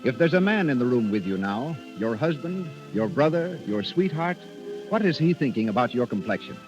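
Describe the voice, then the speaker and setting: A man's voice: mid-range voice, very animated, moderate pace, lo-fi sound. An American man announcing in a formal, declamatory showman style for a 1930s radio broadcast, on a vintage recording. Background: music.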